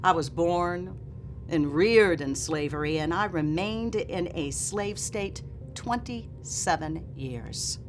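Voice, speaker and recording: A woman's voice: lowish voice, lively intonation, slow. A woman reading as an earnest, dignified nineteenth-century memoirist who was once enslaved, in a formal, solemn and emphatic manner. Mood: measured, humble. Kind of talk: dramatic reading of a memoir preface. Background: music.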